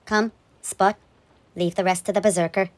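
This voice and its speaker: Man putting on a high, commanding noble lady. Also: level tone, moderate pace, slight background noise, natural voice.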